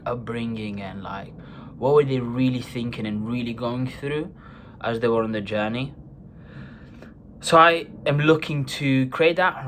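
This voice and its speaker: Young guy from bulgaria rambling into his camera, lowish voice, faint hiss behind. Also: a bit bright. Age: 20s. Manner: earnest, rambling, with many fillers. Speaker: vlogger. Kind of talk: casual personal vlog monologue.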